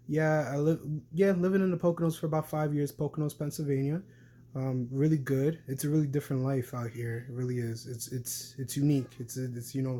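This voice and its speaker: A man's voice: mid-range voice, dark tone, a little hiss or hum. Young New man, rambling vlog. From New York City. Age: young adult. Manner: rambling, lots of fillers and restarts. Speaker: vlogger. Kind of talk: casual talk to camera.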